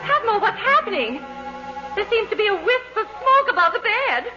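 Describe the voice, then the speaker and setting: A distraught, fluttery wife in a vintage radio comedy. Her voice sits extremely high and is theatrically anxious. The recording is dull, with music behind her. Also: big pitch swings, normal speed. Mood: fluttery alarm. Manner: fluttery, melodramatic. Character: dithery, distraught wife of a supposedly dead man. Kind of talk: 1940s radio comedy acting.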